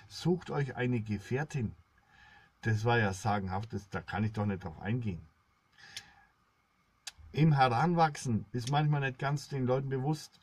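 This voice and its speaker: A middle-aged man rambling to his viewers in a solemn, halting monologue, recorded indoors at about arm's length. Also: medium pitch. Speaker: blogger, solo video host.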